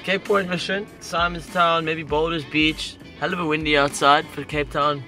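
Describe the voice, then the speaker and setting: A man's voice: fairly high pitch, level tone, moderate pace, bright, forceful tone, faint music behind. A young man vlogging a road trip, chatting and teasing his companions while holding an action camera at arm's length. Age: young adult. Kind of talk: casual travel vlog chat. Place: outdoors and in a car on a road trip. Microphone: action camera held at arm's length.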